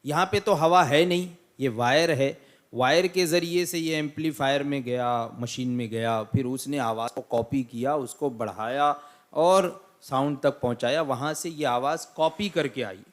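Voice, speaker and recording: A man's voice: medium pitch, measured pace. A man speaking as an Islamic cleric, answering a religious question in a didactic, explanatory way with emphatic repetition. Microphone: microphone through a loudspeaker system.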